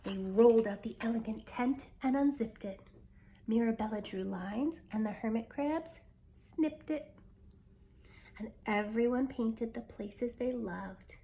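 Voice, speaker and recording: A woman reading a children's picture book aloud with expressive, dramatic storytelling delivery. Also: fairly high pitch, slow pace, slight background noise.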